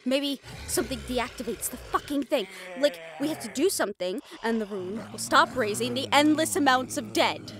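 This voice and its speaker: High-voiced, animated woman in fantasy drama. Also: moderate pace, few pauses, a crowd in the background. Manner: dramatic, sweary banter. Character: tough young woman fighting undead in fantasy battle. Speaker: audio roleplay voice actor. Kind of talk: acted fantasy action audio drama.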